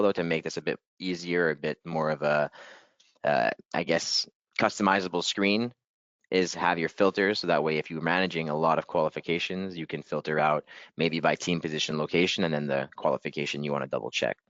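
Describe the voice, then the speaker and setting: A man leading a software training webinar in a casual, upbeat way, a little hoarse and clearing his throat. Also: very deep voice, steady tone, brisk, no room sound, muffled recording. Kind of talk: webinar screen-share walkthrough.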